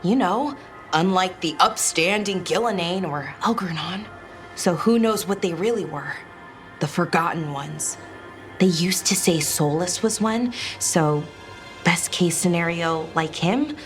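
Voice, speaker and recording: A woman's voice: on the low side, unhurried, soft background music, close to an everyday voice. An actress playing a curious, awkward young woman scholar in a fantasy game, chatting hesitantly as she thinks aloud. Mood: uneasy, earnest.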